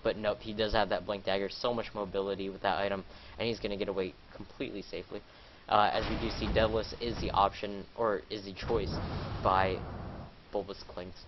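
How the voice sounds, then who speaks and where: A man casting a video game live, sounding nasal and a little stuffed up. He rambles with lots of fillers and gets louder during the fights. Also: fairly low pitch, flat delivery, brisk, highs cut off, background noise. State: congested, a little sick. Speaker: esports caster.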